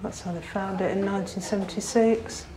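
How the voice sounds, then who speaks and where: A woman's voice: medium pitch, steady tone, fairly quick, crowd noise. A woman chatting casually as a companion on a visit, picked up by a handheld camera. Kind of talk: casual chat. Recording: handheld camera recording, faint noise.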